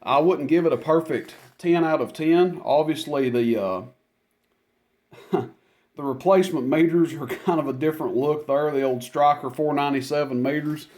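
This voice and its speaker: A man's voice: on the high side, normal speed, darkish tone. Plainspoken man giving a casual product demo. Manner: plainspoken, folksy, unscripted. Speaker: product demonstrator, radio seller.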